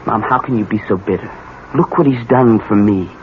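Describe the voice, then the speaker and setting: An actor playing a troubled young adult son, earnest and pleading, in a 1970s radio drama. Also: male voice, fairly low pitch, normal speed, close to an everyday voice. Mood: exasperated. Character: troubled, protesting grown son. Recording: tinny, noisy old radio broadcast.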